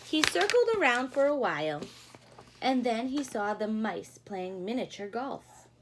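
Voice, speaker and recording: A woman doing an expressive read-aloud for young children, pausing to put questions to them in a teacherly way. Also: fairly high pitch, a little hiss or hum. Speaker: storyteller reading a picture book to children. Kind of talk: animated read-aloud with asides to young listeners.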